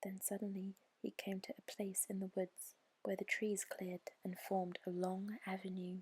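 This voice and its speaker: A woman reads a bedtime story in a gentle, soothing ASMR whisper, breathy and measured, close to the microphone. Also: medium pitch, no echo.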